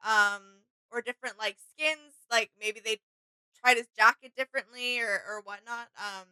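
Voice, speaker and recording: Bright-voiced woman, agreeable co-host. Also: on the high side, steady tone, moderate pace, smooth, poor audio. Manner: agreeable, informal. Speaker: reaction video co-host. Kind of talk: casual chat about game artwork.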